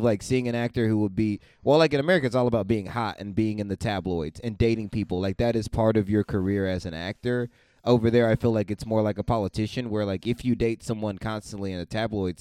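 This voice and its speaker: Hombre de voz clara y seca, tono seguro. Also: male voice, on the low side, a little mellow, smooth, no echo. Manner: confident, advice-giving, emphatic. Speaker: podcast co-host. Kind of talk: casual advice chat.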